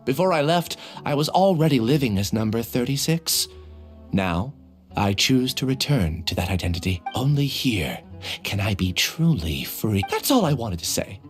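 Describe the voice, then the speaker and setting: Man playing solemn young game scholar, earnest, measured, mid-pitched, expressive intonation, light music behind. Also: natural voice. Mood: resigned, resolute. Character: troubled, resolute young male researcher confessing. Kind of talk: video game voice acting.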